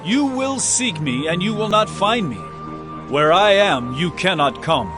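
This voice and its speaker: An impassioned male teacher in an audio Bible drama. His voice sits fairly high and moves with lively swings, earnest and emphatic as he preaches with authority. Clear music plays behind him. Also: measured pace. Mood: earnest, firm.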